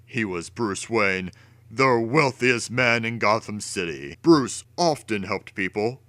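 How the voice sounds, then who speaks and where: A man's voice: lowish voice, lively intonation, slow pace, fairly bright tone, no room sound. A man giving a theatrical, over-emphatic dramatic reading of a children's storybook. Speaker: storybook reader.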